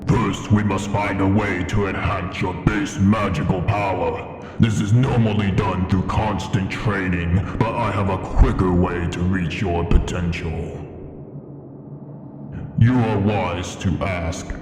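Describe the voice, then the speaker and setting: A man voicing an ancient, proud dragon in a fantasy ASMR roleplay, speaking in an imperious, formal and deliberate manner. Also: deep voice, fairly even intonation. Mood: measured, instructive. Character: ancient proud male dragon in his cavern lair. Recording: echoing cavern reverb with music behind. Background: music.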